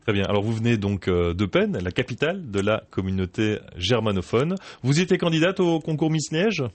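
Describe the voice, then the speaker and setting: A man's voice: medium pitch, big pitch swings, brisk, no echo. A man interviewing a guest in a studio, asking long, leading questions broken up by filler sounds.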